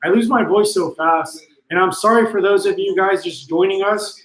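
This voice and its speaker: Man, somewhat high voice, casual live-stream chat, encouraging, um-heavy, voice wearing out, echoey room. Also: moderate pace, fairly bright tone. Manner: encouraging and motivational, with many ums. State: voice getting tired from long talking. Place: indoors at a friend's home.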